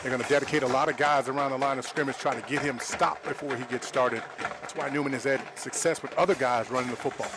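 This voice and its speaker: American male color analyst, fast talker, mid-range voice, conversational football breakdowns. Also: medium pitch, fairly even intonation, background noise. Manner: conversational, explanatory. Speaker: color analyst, former player. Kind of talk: live football color commentary.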